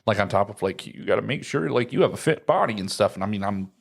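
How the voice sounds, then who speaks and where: A man's voice: on the low side, animated, fast, gravelly. An American man in his early thirties chatting casually as a podcast co-host, rambling and leaning on filler words. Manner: rambling, frequent "like". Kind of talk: casual chat.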